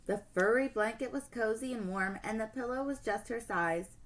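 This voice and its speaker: A woman teacher reading a storybook aloud to children in an expressive storytelling manner. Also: on the high side, normal speed, poor audio.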